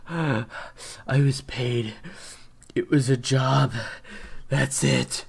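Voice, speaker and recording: Audio drama casting: man playing cornered hired attacker in pain, creaky breathy voice, slow reluctant delivery. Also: mid-range voice, full character voice. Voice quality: breathy, gravelly, husky. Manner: halting, reluctant. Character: pained, cornered hired attacker under interrogation. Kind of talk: audio roleplay acting.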